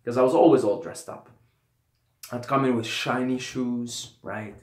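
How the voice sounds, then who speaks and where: A man's voice: medium pitch, a little slow, darkish tone. Rambling young man in an echoey room. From Syria. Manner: rambling, peppered with "right?" and "you know". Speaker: vlogger. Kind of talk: casual storytelling.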